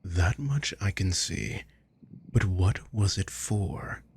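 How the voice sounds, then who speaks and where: A man playing a sadistic villain in an ASMR roleplay, coolly menacing and mockingly caring, speaking in a breathy, deliberate, taunting way. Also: low-pitched, expressive, a little hiss or hum, exaggerated voice. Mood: coaxing, stern. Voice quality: breathy, husky. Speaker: audio roleplay voice actor.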